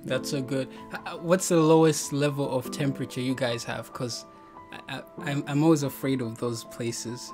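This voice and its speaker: A man's voice: medium pitch, steady tone, normal speed, music in the background. A young man hosting a Bible podcast over a video call on a headset, upbeat and encouraging. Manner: upbeat, encouraging, laughs often. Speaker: podcast host.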